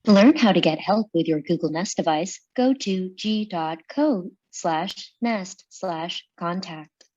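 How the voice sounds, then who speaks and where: Woman as voice assistant, instructional, lower female pitch, lo-fi mic, comedy table read. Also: unhurried, a little mellow. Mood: flat, helpful. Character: smart speaker voice assistant reciting help instructions. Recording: dull, lo-fi microphone sound.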